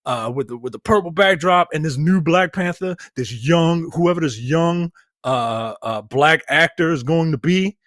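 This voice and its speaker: A man's voice: on the high side, a little slow, a bit bright, no room sound, studio quality. A man co-hosting a podcast in casual chat, emphatic and swearing, repeating his points to drive them home. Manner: emphatic, repeats himself, profane. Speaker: podcast co-host.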